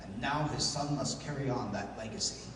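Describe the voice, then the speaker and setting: A man's voice: medium pitch, moderate pace, background noise, pitched below the performer's own voice, natural voice. A man playing a debonair, formal lawyer adventurer in a 1930s-style radio serial, speaking precisely. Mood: grave, concerned. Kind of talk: 1930s radio drama acting. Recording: echoey hall, distant mic.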